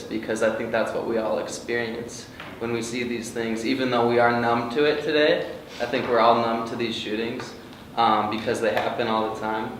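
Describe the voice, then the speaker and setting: A man's voice: mid-range voice, fairly bright tone. A man speaking earnestly as an actor in a group discussion at a table read. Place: indoor room with a group. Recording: very echoey room, distant, noisy.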